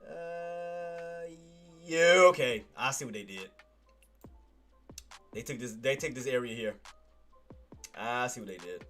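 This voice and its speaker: Stuffy-nosed guy doing live chart talk, mid pitch, laid back, repeats himself a lot, music playing low. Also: takes it slowly, pauses often, bright, forceful tone. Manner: casual, repetitive, talks to chat as "boss". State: nasal congestion. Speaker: trading livestream host. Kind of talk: live stock chart commentary.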